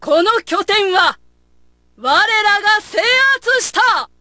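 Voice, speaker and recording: A woman's voice: very high-pitched, animated, takes it slowly, bright, forceful tone, no echo, heavily acted. A woman voicing a proud, gallant noble warrior in a video game, with formal, stately martial delivery. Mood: proud, commanding. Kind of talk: video game battle voice lines.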